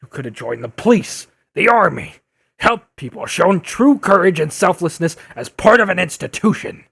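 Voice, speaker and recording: A man playing a bitter, blustering old newspaperman turned blogger in an audio drama, with a gravelly, raspy voice and an accusatory, declaiming manner. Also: high voice, lively intonation, moderate pace, a bit bright, exaggerated voice. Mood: bitter, brooding. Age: older. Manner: accusatory, indignant, theatrical declaiming.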